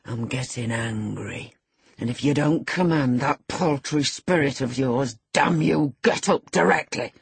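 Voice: mid-range voice, unhurried, husky, dry recording. A narrator voicing a menacing, sardonic middle-aged man who commands and sneers, in an audiobook of a classic novel. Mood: curbed fury. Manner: sneering, commanding, alternately muttering and shouting.

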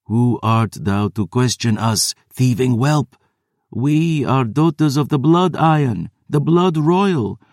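A man's voice: medium pitch, animated, takes it slowly, darkish tone, dry recording, studio quality, voice pushed up. Man putting on ancient scornful vampire sisters. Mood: contemptuous. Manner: archaic, haughty speech. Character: twin ancient female vampire sisters, archaic, contemptuous.

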